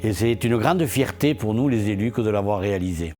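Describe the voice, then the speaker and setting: A man with a somewhat low-pitched voice speaks as an elected official presenting local achievements. His manner is earnest and rhetorical, as in a promotional interview. Soft music plays faintly behind him. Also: brisk.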